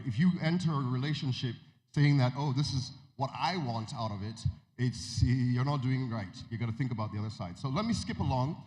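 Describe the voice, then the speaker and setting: A man speaks Nigerian English with an American lilt as he gives a conference keynote. His pitch is middling and his tone conversational. He quizzes the crowd and drops joking asides. His voice rings out in a large hall with a long reverb tail. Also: a little mellow. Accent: American-tinged Nigerian English. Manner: conversational, asks the audience questions, jokes asides.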